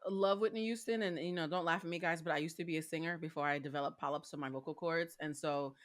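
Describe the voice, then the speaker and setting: A woman with a raspy voice, chatting as a co-host on a talk show, emphatic and opinionated. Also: low voice, fairly even intonation, fast talker. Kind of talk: casual talk-show chat.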